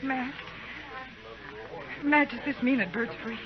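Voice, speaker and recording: A woman's voice: sits high, slow, crowd noise. A woman playing an earnest, emotional married frontier woman in a 1950s radio western, pleading in manner. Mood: tender. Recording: noisy muffled old radio recording.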